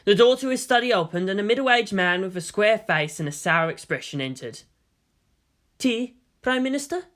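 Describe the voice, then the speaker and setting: A narrator reading a story aloud in an expressive, scripted storytelling style. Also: medium pitch, brisk, few pauses. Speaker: audiobook-style reader of fan fiction.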